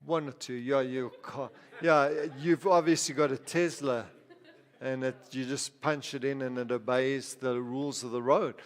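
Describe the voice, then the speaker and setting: A male pastor from Zimbabwe delivers a conversational sermon, circling back with restarts and asides. His voice sits at an ordinary middle pitch. The sound carries a long reverb from a large church hall. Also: steady tone, measured pace.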